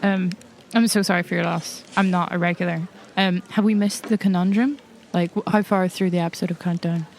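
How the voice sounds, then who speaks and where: A woman's voice: on the low side, steady tone, a little fast, few pauses, darkish tone, natural voice. A woman playing a polite, awkward, bookish puzzle enthusiast in an improvised tabletop game, courteous and hesitant. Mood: polite, curious.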